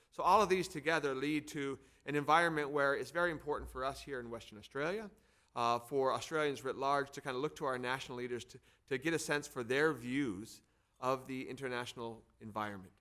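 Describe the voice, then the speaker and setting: A man's voice: medium pitch, bright, forceful tone. A middle-aged man from the United States with an American accent, hosting an event with a semi-formal, partly off-the-cuff speech, repeating words for emphasis. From United States, Arizona. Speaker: think tank head hosting and introducing speakers.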